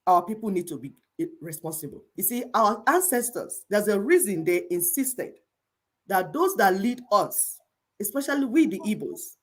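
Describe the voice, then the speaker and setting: A woman's voice: medium pitch, sing-song, moderate pace. A middle-aged Igbo woman with a Nigerian accent, giving impassioned commentary in an emphatic, repetitive, preaching cadence. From Igbo people of Nigeria.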